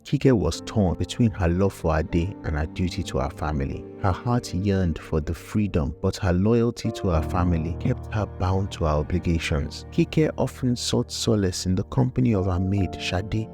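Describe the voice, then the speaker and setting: A man's voice: low-pitched, moderate pace, few pauses, music in the background. A man narrating a scripted folktale as the storyteller.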